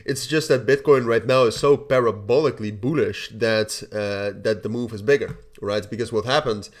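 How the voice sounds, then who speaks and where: A man's voice: lowish voice, normal speed. A young man with a stuffy, nasal voice hosting a casual crypto update, rambling with many restarts and "all right?" checks. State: blocked nose. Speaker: crypto channel host. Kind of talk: casual market update to camera.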